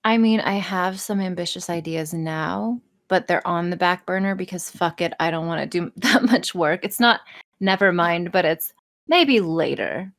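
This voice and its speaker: A woman's voice: fairly low pitch, moderate pace. A woman chatting casually on a podcast, talking in a candid, wry way with plenty of swearing. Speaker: podcast guest, audio creator.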